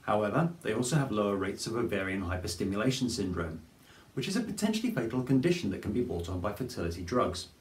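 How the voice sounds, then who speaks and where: A man, presenting a scripted explainer to camera in a clear, measured way. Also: lowish voice, sing-song, slight background noise. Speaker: fertility advice presenter. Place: plain studio with grey backdrop. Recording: roomy studio echo.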